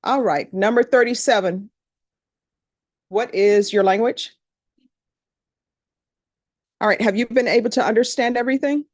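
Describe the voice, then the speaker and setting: A woman's voice: a little high, unhurried, long pauses, a little mellow, dry recording, studio quality. A middle-aged woman from Louisiana with a Louisiana accent, a judge speaking to a jury panel in a courtroom in a plain, conversational storytelling manner.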